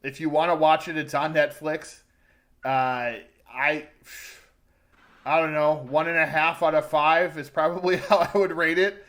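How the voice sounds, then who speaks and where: A man's voice: fairly high pitch, fairly even intonation, unhurried, a bit bright. A man co-hosting a podcast, giving an informal, opinionated film review in a conversational way. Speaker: movie podcast co-host. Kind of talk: casual film review chat.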